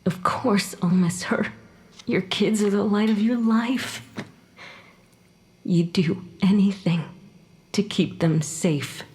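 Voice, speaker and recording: An actress playing the ghost of a murdered young mother in an audio drama, sharp-tongued and emotional, halting when upset. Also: mid-range voice, natural voice. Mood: grieving, bitter. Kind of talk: dramatic audio fiction acting.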